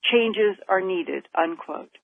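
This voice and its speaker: A woman reads a scripted science report in a measured, deliberate manner. Her pitch is middling. The audio is dry and narrow, like a phone line. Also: animated, creaky, no room sound.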